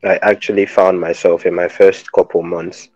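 A man from Nigeria with a very deep voice tells stories in a relaxed, jokey way. The sound is dry and dull, like a lo-fi recording. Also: moderate pace, no echo, faint music behind. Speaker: podcast co-host. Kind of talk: casual podcast chat.

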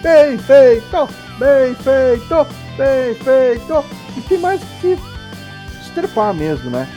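A Brazilian man co-hosting a podcast, chatting in an animated way and breaking into loud exclamations. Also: extremely high pitch, takes it slowly, faint music behind. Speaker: podcast co-host. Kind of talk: casual podcast banter.

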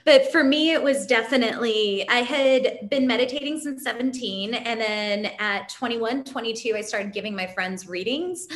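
A woman's voice: a little high, moderate pace, few pauses. A woman from California speaking candidly and reflectively as a guest in a casual interview, explaining her ideas as a spiritual teacher. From California, United States, immigrated at four.

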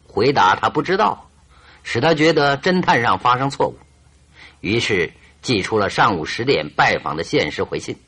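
An actor playing a shrewd, confident detective in a radio drama, reasoning aloud in a theatrical, emphatic and deliberate way. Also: male voice, mid-range voice, sharp tone, husky, heavily acted. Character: shrewd, confident male detective, stern yet teasing. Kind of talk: Chinese radio drama acting, expository monologue.

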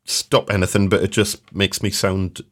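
A man co-hosting a podcast in casual chat, slightly hoarse from a cold, relaxed and self-deprecating, talking from a small home studio over a video call. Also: low voice, normal speed. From North East England. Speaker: podcast co-host.

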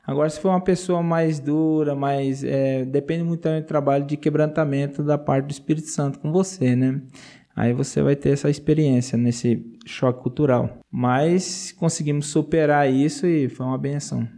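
Missionary man, mellow and earnest, laughs easily, repeats himself. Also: on the high side, fairly even intonation, normal speed. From Brazil. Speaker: missionary guest. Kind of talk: casual interview testimony.

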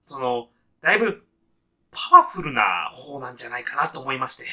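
A man's voice: medium pitch, very animated, a little fast, sharp tone, heavily acted. Man acting a timid, straitlaced salaryman, polite and hesitant. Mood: hesitant, uneasy. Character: timid, straitlaced salaryman newly arrived in heaven. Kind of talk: deadpan comic radio sketch acting.

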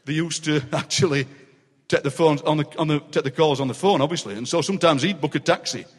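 An older man with a Yorkshire accent, a guest poet telling anecdotes on a stage with quick comic impressions and frequent laughter. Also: fairly high pitch, husky. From South Yorkshire, England. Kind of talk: live stage chat, storytelling. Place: large city hall on stage. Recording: long reverb of a large hall.

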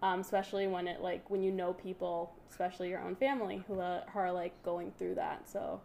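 A woman's voice: lowish voice, fairly even intonation, a little fast, creaky, dark tone, slight background noise. A woman hosting a podcast, talking in an earnest, chatty way in a casual interview. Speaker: podcast host.

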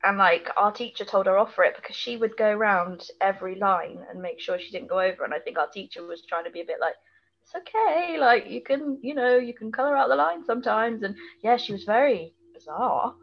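A woman's voice: medium pitch, expressive, a little fast, creaky, lo-fi sound. A British woman co-hosting in casual banter, with a playful, teasing manner. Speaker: podcast co-host. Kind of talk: casual banter, reacting to an episode recap.

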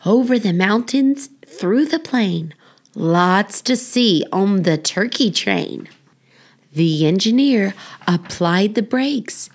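A woman with a creaky voice, hosting and reading for a children's storytime podcast. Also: medium pitch, expressive, takes it slowly. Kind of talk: read-aloud children's story with casual intro and outro.

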